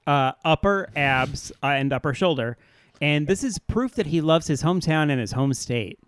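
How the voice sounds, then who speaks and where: Higher-voiced man hosting in a dry close sound. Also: animated, moderate pace, smooth, no room sound. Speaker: comedy podcast host. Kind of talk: casual quiz-style banter.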